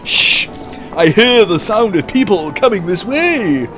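Man as a smug mad doctor, high and swooping, unhurried, theatrical. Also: big pitch swings, over music. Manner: theatrical and sing-song, smug. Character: scheming, greedy mad doctor, male adult.

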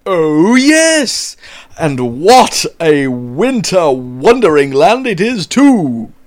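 Man as a smug mad doctor, high and swooping, unhurried, theatrical. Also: very animated, exaggerated voice. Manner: theatrical and sing-song, smug. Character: scheming, greedy mad doctor, male adult.

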